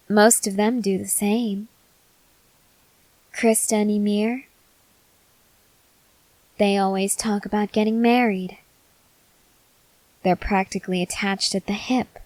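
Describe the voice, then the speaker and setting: Woman acting as possessive female vampire, calm, seductive, unhurried delivery, softly stern, close dry sound, ASMR roleplay. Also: mid-range voice, dry recording, poor audio. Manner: slow, deliberate, softly stern, at times whispering.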